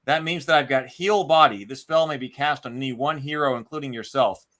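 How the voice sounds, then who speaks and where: Man, bright voice, board game host, casual play-by-play, thinking aloud, announcing dice and moves. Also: medium pitch, fairly quick.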